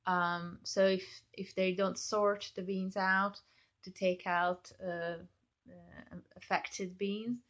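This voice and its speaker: A woman's voice: mid-range voice, level tone, slow, fairly bright tone. A woman whose first language is Hungarian, a chocolate maker giving an informal explainer, thinking aloud with many fillers and self-corrections.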